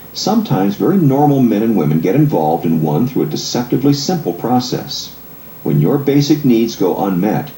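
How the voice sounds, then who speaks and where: Deep-voiced man narrating an audiobook. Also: warm tone. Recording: echoey room, faint background noise.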